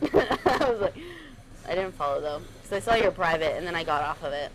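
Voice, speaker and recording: A woman's voice: on the low side, expressive, fairly quick. A young woman streamer chatting casually on a cruise ship, bubbly and gushing, with a heavy use of "like". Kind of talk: casual chat. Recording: busy crowd noise behind.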